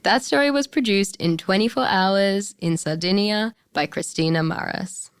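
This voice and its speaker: A woman's voice: mid-range voice, normal speed, smooth, no room sound, studio quality. A woman presenting a radio show, reading a scripted introduction.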